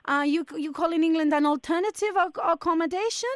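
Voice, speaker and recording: A woman's voice: high voice, normal speed, fairly bright tone, no echo, clean studio sound. A woman on a radio breakfast show putting on a flustered foreign hotel manager with broken, formal English. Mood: pleading.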